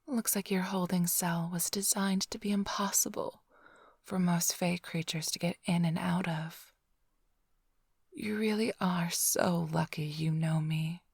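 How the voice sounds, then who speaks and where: Woman playing a breathy, whispering vampire seductress. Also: on the low side, fairly even intonation, measured pace, a little mellow, no echo, studio quality, full character voice. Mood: hushed, appraising. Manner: teasing, drawling, seductive. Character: flirtatious, teasing, smug female vampire thief. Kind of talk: whispered ASMR roleplay. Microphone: close-up studio microphone, dry.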